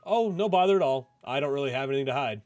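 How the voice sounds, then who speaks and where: A man's voice: mid-range voice, lively intonation, fast talker, a little mellow, voice pushed up, lightly acted. A reserved young man from a visual novel, acted out by a male reader. He talks politely and a little hesitantly, keeping things understated. There is music behind him. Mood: guarded.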